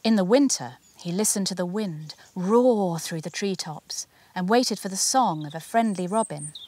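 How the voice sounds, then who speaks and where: A woman narrating a children's picture book as its author, in an expressive, measured storytelling manner. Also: medium pitch, no room sound. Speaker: author reading their own picture book.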